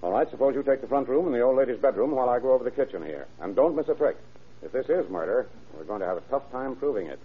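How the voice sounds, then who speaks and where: An actor playing a shrewd, skeptical detective lieutenant in a clipped, hard-boiled old radio drama style. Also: male voice, medium pitch, lively intonation, quick pace, breathy edge, narrow, tinny audio. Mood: suspicious, brisk. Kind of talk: vintage radio crime drama acting.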